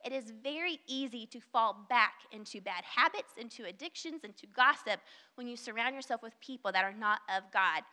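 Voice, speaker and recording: A woman's voice: on the high side, animated, a little fast, pushed and bright, long reverb tail. A young woman with a Southern US accent, preaching an earnest, conversational sermon at a church service and reading scripture. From Southern United States. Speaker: guest speaker at a church service. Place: church sanctuary.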